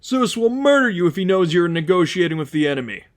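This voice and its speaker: A man voicing a big, hot-tempered male henchman with a gruff, blunt, agitated manner in a storybook reading. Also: sits high, sing-song, fast talker, voice pushed up. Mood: panicked.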